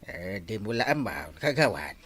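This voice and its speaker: A man's voice: fairly low pitch, big pitch swings, unhurried, fairly bright tone, heavily acted. Wildly swooping Ilocano village captain played by a man. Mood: suspicious. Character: excitable, scheming male village captain. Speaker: actor in a comedy drama. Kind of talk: amateur Ilocano comedy drama acting.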